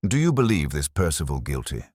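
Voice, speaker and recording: A man's voice: very deep voice, big pitch swings, fairly quick, a little mellow, dry recording, studio quality, full character voice. A man voicing an earnest, inquiring Victorian doctor, a loyal companion in an audiobook. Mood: earnest, questioning.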